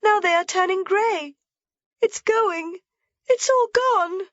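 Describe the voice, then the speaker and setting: A woman voicing an excited little mountain girl, eager and exclaiming in a high voice. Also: takes it slowly, dry recording, lo-fi sound, full character voice. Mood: awestruck.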